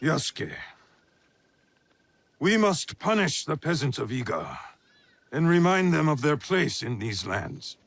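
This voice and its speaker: An actor playing a fierce, commanding feudal Japanese warlord in a dramatic video game, with an imperious manner. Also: male voice, on the high side, sing-song, takes it slowly, gravelly, pauses often, a bit bright, full character voice. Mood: stern, imperious.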